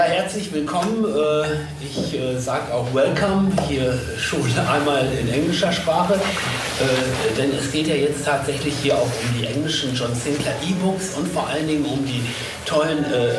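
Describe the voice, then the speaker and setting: A man hosting a live panel, introducing guests informally and often searching for words. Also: medium pitch. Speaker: panel host. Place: convention panel stage. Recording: roomy, distant, noisy live event recording.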